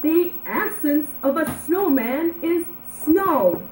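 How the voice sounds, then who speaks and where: An excitable, stubborn little storybook boy, put on by a woman reader, stretches his words dramatically. The recording is roomy and echoey, with faint noise. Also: high-pitched, pitched above the performer's own voice, heavily acted. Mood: indignant. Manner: dramatic, emphatic, drawn-out words. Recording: roomy echo, background noise.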